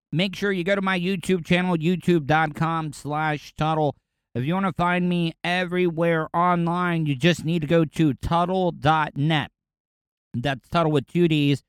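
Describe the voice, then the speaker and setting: A man hosts his own podcast in a loose, crude, ranting monologue. His voice sits higher than most men's and sounds smooth and clear. The recording is dry and close, with no room sound. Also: no room sound. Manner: animated, ranting, crude humor. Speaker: podcast host.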